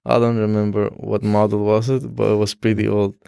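Young guy from afghanistan, first language dari, gravelly low voice, stops and restarts a lot. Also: level tone, mellow, no echo, clean studio sound. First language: Dari Persian. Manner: halting, many restarts and fillers. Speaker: student guest. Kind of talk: casual interview.

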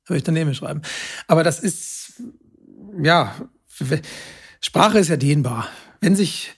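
Man, fairly high voice, slightly raspy, chatty, pedantic, explanatory podcast host. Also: darkish tone. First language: German. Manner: self-confessed language nerd, pedantic yet chatty. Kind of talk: casual explanatory talk.

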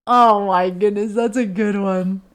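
A woman's voice: mid-range voice, steady tone, a little slow, clear, resonant tone. A woman co-hosting a podcast, telling a true-crime story in a conversational way. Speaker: podcast co-host.

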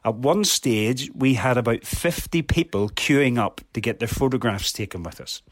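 A man's voice: mid-range voice, expressive, moderate pace, dry recording. An earnest man talking as a guest on a podcast, pressing his points with emphasis. He often restarts and repeats words mid-sentence. The sound is dry and close, with no room around it. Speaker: red meat industry guest. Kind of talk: podcast interview answers.

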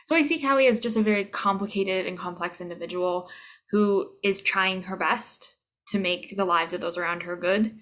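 A woman's voice: medium pitch, moderate pace, fairly bright tone. A woman in her twenties with a Canadian accent, talking casually and reflectively as an interviewed voice actor, sometimes searching for words. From Toronto, Canada. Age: 20s.

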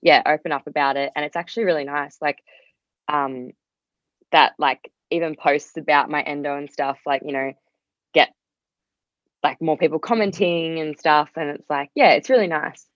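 Young aussie woman on a podcast, lowish voice, chatty and open, bit muffled dry audio. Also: steady tone, moderate pace, no room sound. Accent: Australian. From Australia. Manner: candid, rambling with frequent "like". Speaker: podcast guest, singer-songwriter. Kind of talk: casual conversational interview.

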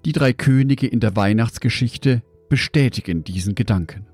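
A man giving a scripted devotional in a measured, reflective way, speaking directly to the listener. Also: mid-range voice, darkish tone, husky, no echo, faint music behind.

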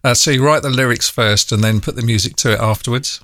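A man with a North London accent hosting a casual interview, speaking in a friendly, halting way with many ums. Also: medium pitch, fairly bright tone, no echo, clean studio sound. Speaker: podcast host.

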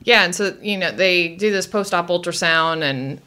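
A woman's voice: lowish voice, normal speed, pushed and bright. A woman co-hosting a casual medical podcast, talking in an informal, conversational way. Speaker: co-host of a medical review podcast.

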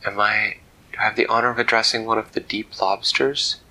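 A man's voice: fairly low pitch, little pitch movement, fairly quick, sharp tone, poor audio. A man voicing a brave young male prince who speaks earnestly, politely and plainly.

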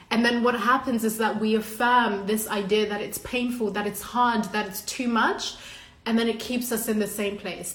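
A woman's voice: on the high side, a bit bright. A woman from Zimbabwe whose first language is Shona, giving a casual, earnest and emphatic motivational talk to a live online audience, swearing freely as a coach would among friends. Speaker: live-stream host and coach. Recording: echoey, reverberant room.

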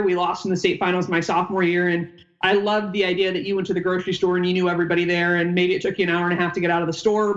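Chatty woman on dull lo-fi audio. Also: lowish voice. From upstate New York, United States. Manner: chatty, rambling, wanders off mid-sentence. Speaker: former college basketball player and coach, guest. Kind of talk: casual podcast interview.

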